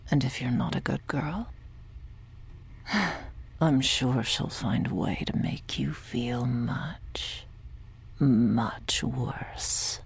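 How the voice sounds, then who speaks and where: A woman playing a cold, domineering medic in an ASMR roleplay, speaking in a breathy, condescending near-whisper with quiet menace. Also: extremely low pitch, drawn-out words, long pauses, exaggerated voice. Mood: cold, threatening. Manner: condescending, menacing, coolly authoritative. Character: cold, sadistic, domineering woman medic running a captive operation.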